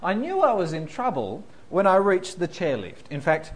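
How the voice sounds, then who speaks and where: A man's voice: high voice, moderate pace. A man preaching a sermon, telling funny stories about himself before turning serious. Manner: self-deprecating storyteller, animated, laughing through anecdotes. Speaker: pastor.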